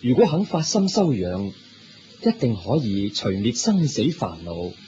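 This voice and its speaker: Radio drama sage: measured, instructive, Hong Kong Cantonese accent, muffled lo-fi sound with faint music. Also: male voice, lowish voice, wildly swooping pitch. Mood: reassuring. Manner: measured, instructive, gently reassuring. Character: the Buddha, serene, kindly male sage and teacher. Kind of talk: Buddhist radio drama acting.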